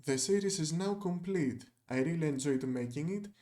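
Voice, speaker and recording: A man's voice: a little high, normal speed, dark tone. A young man whose first language is Greek, narrating a scripted video essay with dry, wry asides.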